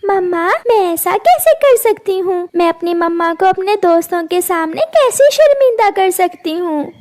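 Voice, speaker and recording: A woman's voice: very high-pitched, animated, unhurried, a little mellow, pitched above the performer's own voice. A woman voicing a sweet, helpful little girl sparrow in a cartoon, childlike and earnest. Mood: innocent.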